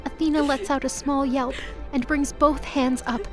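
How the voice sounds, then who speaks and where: High-pitched woman narrating dramatic fiction. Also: steady tone, normal speed. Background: music.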